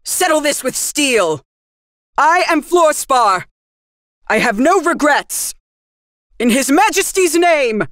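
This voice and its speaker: A woman's voice: sits high, big pitch swings, slow, long pauses, fairly bright tone, dry recording, full character voice. Dutiful female imperial knight, formal clipped delivery, dry close studio sound. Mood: battle-ready. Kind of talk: game voice clips. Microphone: close studio mic.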